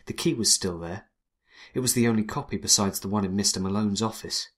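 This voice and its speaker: A man playing a wry, hardboiled 1920s private detective, narrating in a dry, world-weary deadpan. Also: fairly low pitch, fairly quick, close to an everyday voice. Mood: wry, brooding. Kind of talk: first-person noir detective narration and dialogue.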